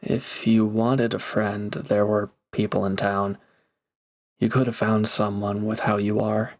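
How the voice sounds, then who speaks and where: A man's voice: on the low side, level tone, measured pace. A man playing a reformed villain turned mercenary in an intimate roleplay audio drama, speaking casually and hesitantly with awkward, earnest gratitude. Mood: earnest, defensive.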